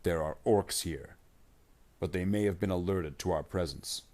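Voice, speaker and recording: A man's voice: sits low, moderate pace, a little mellow, close to an everyday voice. A man reading the part of a stern space marine squad leader in a story, giving clipped, terse orders. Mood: commanding.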